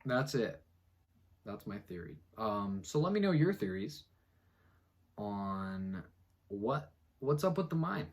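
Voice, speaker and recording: A man's voice: sing-song, slow pace, long pauses. A man giving a theatrical, mock-dramatic reading of an article, breaking off for muttered sarcastic asides. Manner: theatrical, swings between booming and muttered.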